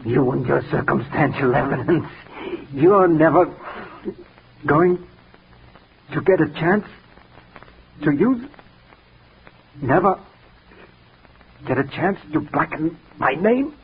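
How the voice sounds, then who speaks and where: Pompous murderous self-styled genius, contemptuous, theatrical 1940s radio delivery, muffled noisy recording. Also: male voice, fairly high pitch, lively intonation, takes it slowly, vocal fry, pauses often, exaggerated voice. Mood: furious, gloating. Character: arrogant male murderer, raving self-declared genius. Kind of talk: 1940s radio drama acting.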